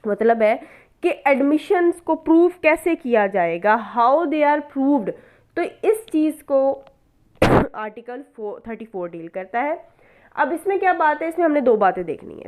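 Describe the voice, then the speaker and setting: Woman, Pakistani accent, higher-pitched. Law tutor style: didactic, example-led, question-and-answer delivery with English terms mixed in. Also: a little mellow. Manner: didactic, uses rhetorical questions and check-ins; mixes English legal terms into speech. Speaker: law lecturer. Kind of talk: explanatory law lesson with examples.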